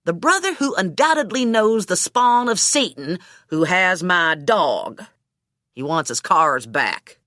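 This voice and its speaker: Lady doing a worked up angry dude in an audiobook, blustery and sweary, really animated. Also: lowish voice, slow, no echo, clean studio sound, full character voice. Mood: bitter, sarcastic. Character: hot-headed man ranting about his stolen dog. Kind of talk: audiobook character dialogue.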